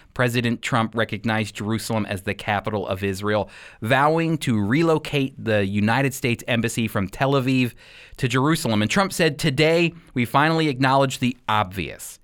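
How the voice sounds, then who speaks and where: A man teaching as a radio host in a scripted, sermon-like style, with emphatic, deliberate phrasing. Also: medium pitch, fairly bright tone, no room sound, studio quality.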